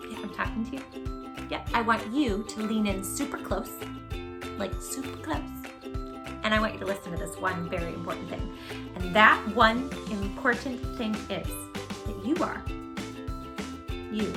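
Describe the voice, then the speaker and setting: A woman hosting a children's storytime, speaking in an animated, gushing way straight to young listeners as she chats and reads aloud. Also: fairly high pitch. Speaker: children's storytime host and creator of the channel. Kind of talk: children's read-aloud and direct-to-viewer chat.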